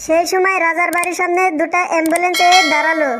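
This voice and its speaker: A woman's voice: extremely high pitch, level tone, fast talker. A woman voicing a polite but cheeky, stubbornly earnest schoolboy in a comedy cartoon. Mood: cheeky insistence.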